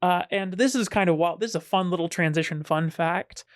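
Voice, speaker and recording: A woman talking as a podcast guest, casual and self-deprecating, sometimes jumping up in pitch when she jokes. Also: fairly quick, no echo, studio quality. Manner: chatty and self-deprecating, with sudden playful jumps in pitch.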